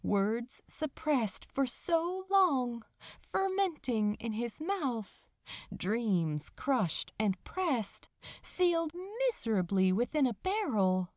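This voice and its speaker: A woman narrating fan fiction as a dramatic audiobook, with deliberate phrasing. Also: fairly high pitch, sing-song, darkish tone, no echo, clean studio sound.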